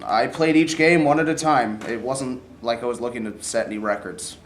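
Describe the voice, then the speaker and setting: A man's voice: medium pitch, normal speed, a little hiss or hum, lightly acted. Man as guarded, weary athlete, echoey room. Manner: plain, evasive, curtly defensive. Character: weary, guarded veteran football player announcing his retirement. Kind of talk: staged reading of a play.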